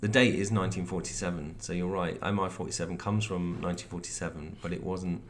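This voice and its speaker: A man's voice: low-pitched, steady tone, quick pace. A man with a West Country accent, a game designer explaining mechanics and history in casual chat while a game is played, often restarting his sentences. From partly Devon, England. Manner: explanatory, chatty, with frequent restarts. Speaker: creative director and game designer guest.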